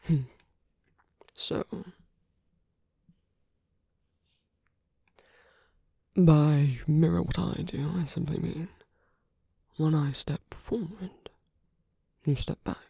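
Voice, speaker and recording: Casting: male actor as flirtatious villain for romantic ASMR audio drama; very breathy, mellow, gently teasing, close and intimate. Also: on the high side, fairly even intonation, takes it slowly, long pauses, no echo, heavily acted. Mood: patient, instructing. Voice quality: breathy, soft, half-whispered. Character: suave flirtatious male villain wooing a hero at a masquerade. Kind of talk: ASMR roleplay, intimate scripted monologue.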